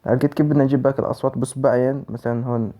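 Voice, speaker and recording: A man's voice: medium pitch, flat delivery, very fast, dark tone. A man with a Levantine Arabic accent giving a casual spoken app walkthrough, repeating instructions step by step. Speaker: tutorial presenter.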